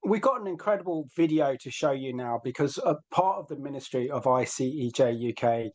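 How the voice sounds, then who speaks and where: A man hosting an online webinar as interviewer, speaking in a halting way with frequent ums. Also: mid-range voice, expressive, darkish tone. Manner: hesitant, halting delivery with many ums.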